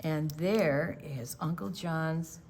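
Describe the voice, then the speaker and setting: A woman with a fairly low voice reads a children's picture book aloud. She sounds like an older storytime reader, telling the story simply for young listeners. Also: takes it slowly, a little mellow, slight background noise.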